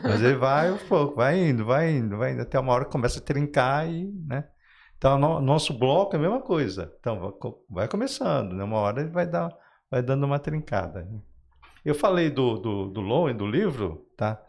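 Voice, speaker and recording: A Brazilian man with a mid-pitched voice talks as an interview guest. He explains ideas in a conversational, anecdotal way, reaching for everyday examples and often adding small check-in tags. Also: lively intonation, brisk, a little mellow. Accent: Brazilian Portuguese. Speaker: guest psychoanalysis author.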